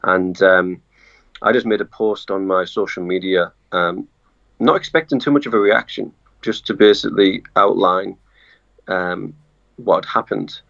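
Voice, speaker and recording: Deep gravelly northeast English man. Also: a bit bright, dull audio. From northeast England. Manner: halting, with frequent pauses and restarts. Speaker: journalist guest. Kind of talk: casual remote interview.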